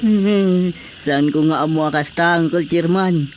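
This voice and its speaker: A man's voice: fairly high pitch, measured pace, loud music behind the voice, close to an everyday voice. A man playing a callous, stubborn husband, curt and complaining, in a radio drama. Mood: uneasy. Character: callous, stubborn husband fed up with his wife.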